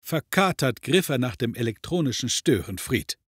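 A man playing a wry, ironic storyteller, narrating a comedic audio drama in a measured, dry manner. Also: mid-range voice, big pitch swings, breathy edge, no room sound. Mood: dry irony. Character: wry omniscient male storyteller.

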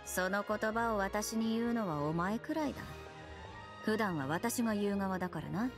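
A woman playing a stern young patrol captain in a game, formal, deadpan and authoritative. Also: mid-range voice, moderate pace, over music, natural voice. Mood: dry, wary.

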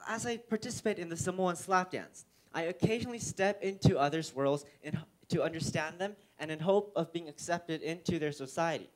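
A man's voice: fairly high pitch, a little fast, hardly any pauses, low-quality recording. Teenage Korean boy giving a stage talk. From South Korea. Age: teens. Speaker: student speaker. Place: auditorium stage with audience. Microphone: headset mic.

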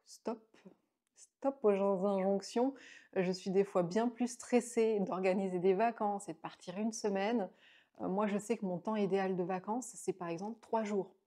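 A woman's voice: medium pitch, fairly even intonation, moderate pace, dark tone. A woman in her late thirties reviewing books to camera at home, chatty, with many fillers and restarts, on a lapel mic. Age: 30s. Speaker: book vlogger. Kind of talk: casual book review to camera. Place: small indoor room at home.

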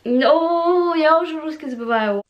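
A young woman from Latvia speaking with an English-influenced accent, chatting casually to the camera as a vlogger and haltingly searching for words. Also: high voice, lo-fi sound, faint music behind. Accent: English-influenced Russian. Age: young adult. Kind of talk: casual chat to camera, answering viewer questions. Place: small home room, indoors.

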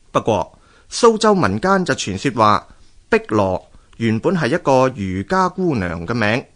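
Animated male Hong Kong Cantonese narrator. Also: mid-range voice. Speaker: radio narrator. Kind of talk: scripted documentary storytelling narration.

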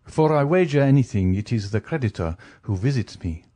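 A man's voice: mid-range voice, expressive, a little fast, mellow, lightly acted. Man voicing a nervous, flustered banker. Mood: forced joviality. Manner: stammering, hesitant. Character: nervous, flustered male banker forcing composure. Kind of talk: audiobook character voice.

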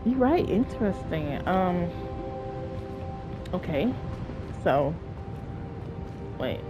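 A young woman with a stuffy head cold, chatting casually and excitedly while playing a video game. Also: on the low side, very slow, over music. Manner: chatty, excitable, rambling, self-talking. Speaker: gameplay streamer. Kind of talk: casual let's-play commentary.

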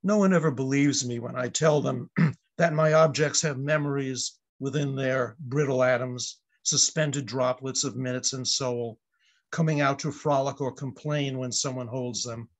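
Older guy in his 70s reading a poem on a video call, measured and reflective, medium pitch. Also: steady tone. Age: older, about 70s. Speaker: poet at an open mic. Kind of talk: poetry reading.

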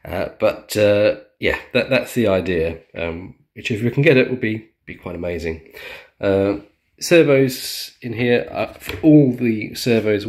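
A man casually walking through his model plane build at home, chatty with lots of ums and restarts. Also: lowish voice. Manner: chatty, many ums and restarts, self-deprecating. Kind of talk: casual show-and-tell walkthrough. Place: indoors at a home dining table.

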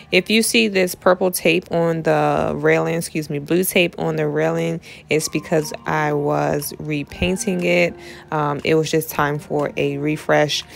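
Low-voiced woman chatting through chores. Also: vocal fry. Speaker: cleaning vlogger. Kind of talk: casual clean-with-me voice-over.

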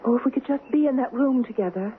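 An actress playing an earnest, romantic young woman haunted by the past, in 1970s radio drama style with dramatic pauses. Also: high voice, natural voice. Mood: pleading, intense. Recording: noisy, phone-like 1970s radio recording.

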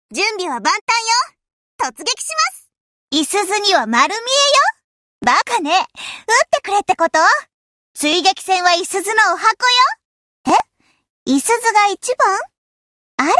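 A woman voicing a proud, confident young warship girl in an anime game, with a brisk, haughty manner. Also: very high voice, pushed and bright, no room sound, studio quality, heavily acted. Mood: scornful. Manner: brisk, self-assured, haughty. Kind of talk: anime game character voice lines.

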